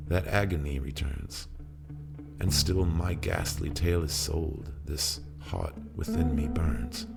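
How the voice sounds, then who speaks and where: A man giving a theatrical, solemn and deliberate dramatic recitation of a poem, with music. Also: very deep voice, creaky. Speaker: poetry reader. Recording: music played behind the voice.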